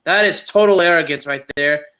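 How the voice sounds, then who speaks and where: A man's voice: a little high, a little fast, pushed and bright. A man co-hosting a sports podcast, giving casual commentary on games. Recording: dull, lo-fi sound.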